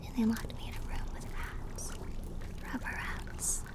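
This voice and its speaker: A woman playing a clumsy, affectionate and slightly mad plague doctor girlfriend in a theatrical, breathy ASMR roleplay. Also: unhurried, close to an everyday voice. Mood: tender, soothing, unhinged. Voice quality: breathy, often whispered. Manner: theatrical, affectionate, quaint turns of phrase. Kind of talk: ASMR roleplay, scripted character acting.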